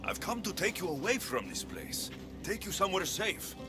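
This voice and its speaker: An actor playing an earnest, resolute hero in a video game, speaking directly and reassuringly. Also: male voice, sits high, sing-song, moderate pace. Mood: earnest, urgent. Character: earnest, resolute male warrior hero helping lost souls. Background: game soundtrack music.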